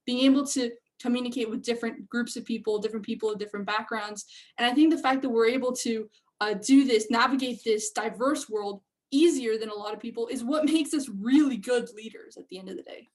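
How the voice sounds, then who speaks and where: Woman on a panel, fairly high voice, thoughtful and well spoken. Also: brisk. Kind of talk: casual panel discussion.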